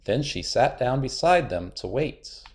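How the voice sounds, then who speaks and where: A man reads a children's story aloud in a mid-pitched voice. His delivery is highly animated and expressive, leaning on key words for dramatic effect. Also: measured pace. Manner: expressive, dramatic repetition and emphasis. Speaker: storybook narrator. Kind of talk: children's read-aloud narration.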